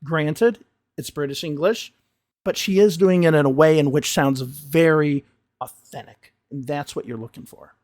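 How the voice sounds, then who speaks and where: A man, an English teacher, giving emphatic, animated commentary on a video he is reacting to. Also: a little high, normal speed, no echo, clean studio sound.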